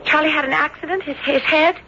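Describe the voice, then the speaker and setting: A woman's voice: high voice, fairly even intonation, fairly quick, bright, forceful tone, dull audio. A young woman acting in an old-time radio drama as an earnest, lovesick bride-to-be, hesitant, polite and pleading. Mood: distraught. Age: young adult. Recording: thin, hissy old radio recording.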